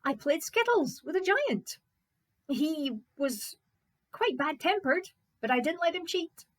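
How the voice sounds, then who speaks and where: A woman voicing a cheerful, unflappable young man from a folk tale, polite and matter-of-fact, gently bossy. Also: sits high, animated, slow, voice pushed up. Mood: cheerful, chatty.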